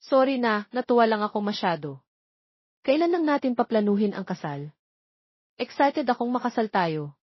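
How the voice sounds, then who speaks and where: A cheeky, eager young suitor voiced by a woman sweet-talks insistently in a husky voice, in the style of an audio romance. The recording is close, dry and slightly dull. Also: a little high, lively intonation, moderate pace, long pauses, no echo. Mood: pleading, eager. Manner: sweet-talking, insistent, unfazed. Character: lovestruck, persistent young bachelor, cheeky and eager. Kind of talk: acted dialogue in an audio romance story.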